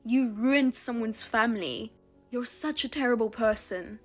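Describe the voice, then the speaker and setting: A woman's voice: on the high side, a little slow, faint music behind. A woman voicing a cold, bitter older sister. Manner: flat, cold, restrained. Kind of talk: narrated drama voice-over.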